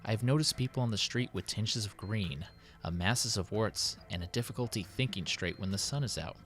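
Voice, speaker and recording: A low male voice put on by a narrator as a burdened city president in a story. He is formal and measured, dictating a solemn diary with dutiful worry, over soft background music. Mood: troubled, resolute. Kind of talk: dramatic in-character diary reading.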